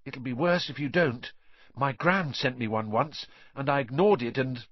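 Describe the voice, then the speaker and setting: A man's voice: medium pitch, a little slow, no echo, muffled recording, pitched above the performer's own voice, natural voice. A man voicing a nervous, eager young schoolboy for a storybook. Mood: nervous urging. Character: timid, anxious, accident-prone schoolboy. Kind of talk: audiobook character voice.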